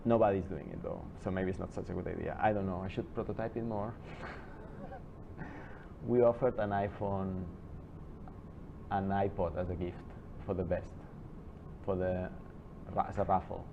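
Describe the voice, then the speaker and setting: A low-voiced man lectures a class in a loose, rambling way, stopping to restart his sentences and wander off into side stories. The recording sounds dull and lo-fi, with a faint hiss under it. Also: level tone, creaky. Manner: chatty, digressive, with halting restarts.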